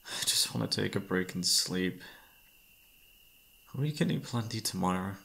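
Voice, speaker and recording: Gravelly, tired boyfriend, acted by a man. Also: lowish voice, animated, moderate pace. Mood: weary, reluctant. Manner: tired, sighing, hesitant, soft-hearted. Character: exhausted, overworked, affectionate young boyfriend. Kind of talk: intimate ASMR roleplay acting.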